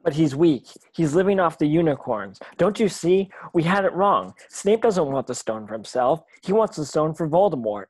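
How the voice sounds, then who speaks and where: A man's voice: a little high, animated, normal speed, few pauses, slightly rough, no room sound. A man playing an earnest, brave schoolboy wizard in an amateur screenplay table read. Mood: urgent.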